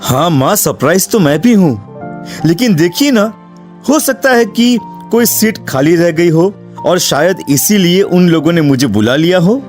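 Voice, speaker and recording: An anxious young student from a cartoon horror story, played by a man. His voice sits a little high for a male and he speaks expressively, curious and quick to exclaim. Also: animated, a little mellow, faint music behind. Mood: excited. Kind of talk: dramatised Hindi cartoon horror story dialogue.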